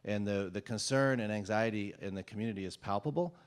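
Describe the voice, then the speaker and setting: A man's voice: fairly low pitch, quick pace, clean tone. A man gives earnest, firm public comment at a town hall meeting, with a few fillers as he goes. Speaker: resident speaking at public comment.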